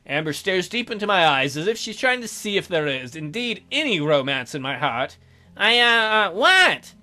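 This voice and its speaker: Expressive sardonic let's player man. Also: on the high side, fairly quick. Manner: sardonic asides. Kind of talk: visual novel read-aloud with joking asides.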